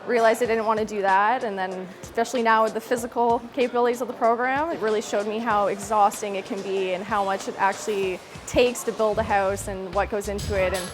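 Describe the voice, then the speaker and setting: A woman of medium pitch talks as a student giving a personal testimonial. Her unscripted account runs over clearly audible music. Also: fairly even intonation, fairly quick, few pauses.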